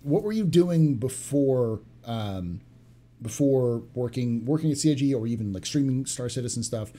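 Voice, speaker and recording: Young male host, animated delivery, casual interviewer. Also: mid-range voice, normal speed. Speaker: podcast host. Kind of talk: interviewing guests.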